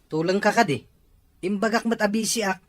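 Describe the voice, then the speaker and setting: A man playing a curt, neglectful older brother and father with a husky voice and Filipino Ilocano accent in an audio drama. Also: high voice, brisk, dry recording, lightly acted. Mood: curt, dismissive.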